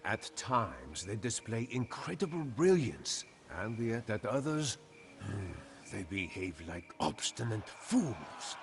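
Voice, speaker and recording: An actor playing an honored, solemn general of the Khmer Empire, narrating in a grave, formal, measured way for a strategy game. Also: male voice, medium pitch. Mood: reflective, wry. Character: honored old-world Khmer general, solemn, weathered commander. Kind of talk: dramatic scripted game narration.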